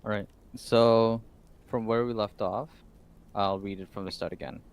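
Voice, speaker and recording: A man's voice: lowish voice, fairly even intonation, normal speed, clear, resonant tone. A man on a livestream, bantering playfully with swearing and reading a story aloud. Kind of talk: casual chat and reading aloud on a stream.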